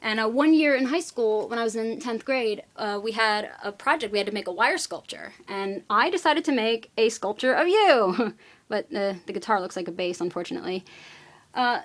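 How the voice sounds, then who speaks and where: A woman's voice: fairly high pitch, lively intonation, moderate pace. A young woman recording an earnest, rambling fan message on a webcam at home, with many fillers. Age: young adult. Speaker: fan sending birthday wishes. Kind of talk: casual fan video message.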